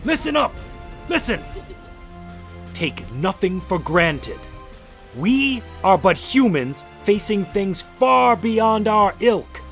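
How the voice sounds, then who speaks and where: A commanding, grandiose mob leader with a high voice that swings widely. His oratory is slow and dramatic, in radio play style. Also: very animated, a bit bright, faint music behind, heavily acted. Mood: rousing, urgent.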